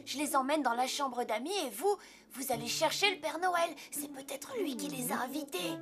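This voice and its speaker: A woman's voice: high voice, lively intonation, normal speed. A woman voicing an earnest, easily flustered young boy in a cartoon, polite and hesitant. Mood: reassuring. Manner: hesitant, polite, reassuring himself. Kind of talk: cartoon voice acting. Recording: music behind the voice.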